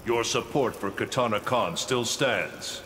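An actor playing a solemn, stern thunder god in a video game, speaking in a measured, commanding way. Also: male voice, on the low side, lightly acted. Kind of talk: video game character dialogue. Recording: very noisy game audio.

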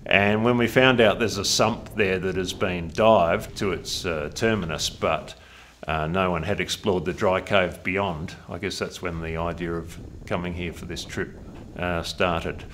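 A man with a lowish voice talks in a halting way, pausing often and joking about himself. Clear background noise runs under him throughout. It has the feel of an interview recorded out in the field. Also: steady tone, fairly bright tone. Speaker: cave diver. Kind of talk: documentary interview.

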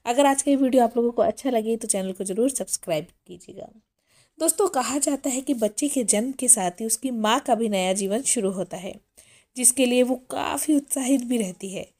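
Woman, hoarse, health channel host, mellow tone, explanatory talk. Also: fairly high pitch. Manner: addresses viewers as friends, explains step by step. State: hoarse, with a cough. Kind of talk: informational talk.

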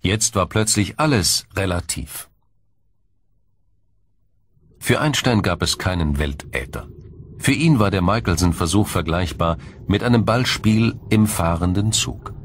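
A man reading a scripted science documentary in a measured, formal, explanatory manner. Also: deep voice, animated, slightly rough. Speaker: documentary narrator.

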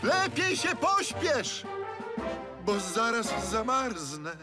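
Very high-voiced plaintive cartoon truck. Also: male voice, big pitch swings, very slow, music in the background, heavily acted. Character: small, plaintive young male off-road truck, a living vehicle. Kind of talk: Polish cartoon dub.

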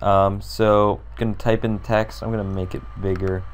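A young man with a sore, hoarse throat and a deep, low voice talks through a computer design tutorial. He rambles and thinks aloud with plenty of ums and uhs while he clicks along. A faint hiss sits under the recording. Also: flat delivery, normal speed. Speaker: tutorial creator.

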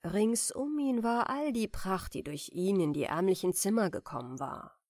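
A woman softly and deliberately narrating a fairy tale as a bedtime audiobook. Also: mid-range voice, no room sound, studio quality. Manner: soft, deliberate, expressive. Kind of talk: gentle bedtime story reading.

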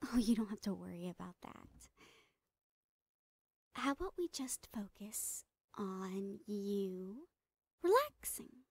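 A woman playing a smiling psychotic doctor in a horror ASMR roleplay, breathy and often whispering, soothing and falsely reassuring with drawn-out words. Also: medium pitch, very animated, dry recording, exaggerated voice. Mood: soothing, sinister. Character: smiling psychotic woman doctor, sweetly menacing mad scientist. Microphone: close microphone, dry.

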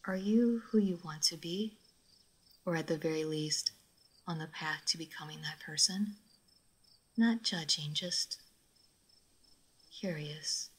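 A woman playing a gentle, melancholy old vampire lady in an intimate roleplay audio drama, speaking softly and formally. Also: sits low, takes it slowly, long pauses, slightly rough, heavily acted. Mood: gently curious. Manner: soft, formal, wistful, sometimes near whispering.

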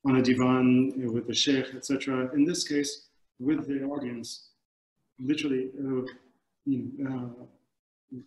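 A man in his forties speaks in a thoughtful, halting way as he searches for words. His voice has an average pitch and comes over a video call from an echoey room at home. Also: level tone, a little mellow. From Middle East, born in Kuwait. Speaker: film director, guest. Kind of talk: thoughtful answers in a video panel discussion. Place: indoors, book-lined room at home. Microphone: computer mic on a video call.

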